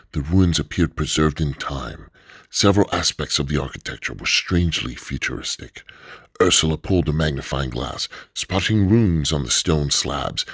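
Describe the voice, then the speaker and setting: Male narrator for radio drama, extremely deep, husky, steady delivery, dry close mic. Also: no echo. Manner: steady, low storytelling delivery.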